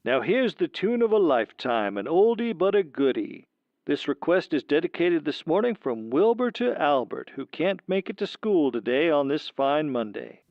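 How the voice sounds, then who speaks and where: A man acting out characters for a whimsical audiobook in a theatrical, deliberate way. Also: a little high, very animated, no echo, dull audio. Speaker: audiobook voice actor.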